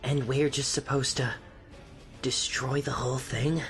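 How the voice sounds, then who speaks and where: Actress as timid, halting young recruit, deep for a woman, sci-fi drama. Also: steady tone, faint music behind. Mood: uneasy. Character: timid, hesitant young trainee.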